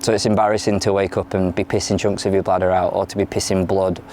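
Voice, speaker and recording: A man in his thirties with an English accent from London, born in Ireland, speaking frankly in a candid interview, often pausing and restarting his sentences. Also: sits low, level tone, a little mellow, slight background noise. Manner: frank and plain-spoken, with frequent hesitations and restarts. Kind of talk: candid personal testimony.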